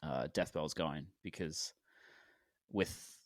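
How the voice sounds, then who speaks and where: A man in his twenties from Australia, a musician guest on a podcast, talking in a relaxed, rambling way with lots of fillers and restarts. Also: a bass voice, slow pace, no echo, clean studio sound. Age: 20s. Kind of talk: casual podcast interview.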